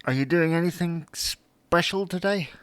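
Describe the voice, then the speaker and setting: A man's voice: fairly high pitch, measured pace, a bit bright, clear, resonant tone, dry recording. A man playing a grumpy, whining, babyish husband in an audio comedy drama, grouchy and plaintive in manner. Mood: grumpy, sulky.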